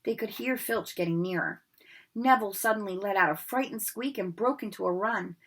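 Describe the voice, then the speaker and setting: A woman reading a storybook aloud with expressive narration. Her voice is bright and forward, with a breathy edge. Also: mid-range voice, fairly quick. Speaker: reader.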